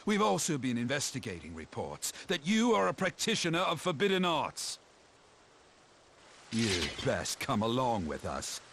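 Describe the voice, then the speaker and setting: A man's voice: medium pitch, huge pitch swings, a little slow, fairly bright tone, a little hiss or hum, heavily acted. A man playing a stern, officious soldier in a video game, declaiming charges formally.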